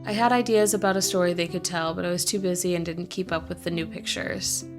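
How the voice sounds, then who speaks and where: A woman host reading a first-person fantasy story aloud in a measured, deliberate way. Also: fairly low pitch, fairly even intonation, music in the background.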